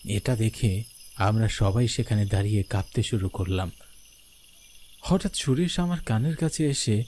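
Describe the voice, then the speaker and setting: A man's voice: fairly low pitch, unhurried, a little mellow. A man narrating a scripted horror story in the first person. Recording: background noise with night crickets.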